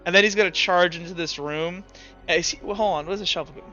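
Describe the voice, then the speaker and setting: Game master, male, high bright voice, loose and sweary storyteller, slightly dull recording with music behind. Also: fast. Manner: profane, informal, animated storytelling. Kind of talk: casual tabletop game narration and rulings. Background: background music.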